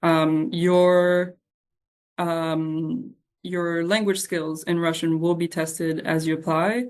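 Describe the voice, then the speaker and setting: A woman with a slightly low voice that moves very little in pitch. She answers questions informally as a staff member, hesitating as she goes and offering reassurance. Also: little pitch movement, slow pace, a little mellow.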